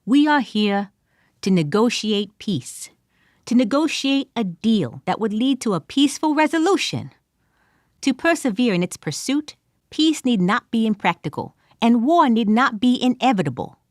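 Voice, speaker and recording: A woman's voice: a little high, very animated, normal speed, dry recording, clean studio sound. A woman voicing an earnest adventurer who gives a grand, formal plea for peace.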